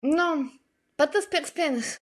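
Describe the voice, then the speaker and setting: A woman from Bulgaria chatting casually as an interview guest, thinking aloud as she answers in a measured way. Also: sits high. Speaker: podcast guest, illustrator and digital artist.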